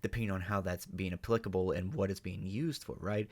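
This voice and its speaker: A man's voice: deep voice, steady tone, fairly quick, darkish tone. Man giving emphatic off-the-cuff commentary. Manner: emphatic, opinionated, rambling, swears. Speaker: reaction video host. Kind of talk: unscripted reaction commentary.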